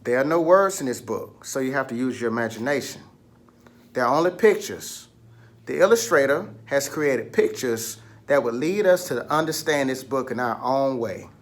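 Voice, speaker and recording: A man's voice: mid-range voice, animated, moderate pace. A man leading a picture book read-aloud lesson for children, instructing, asking questions and prompting listeners along.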